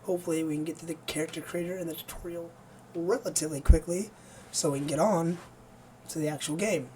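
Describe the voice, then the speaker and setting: A gaming streamer with a hoarse, nearly lost voice, chatting casually and rambling to viewers before playing. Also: medium pitch, steady tone, fast, mellow, slight background noise. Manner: rambling, easygoing, many fillers.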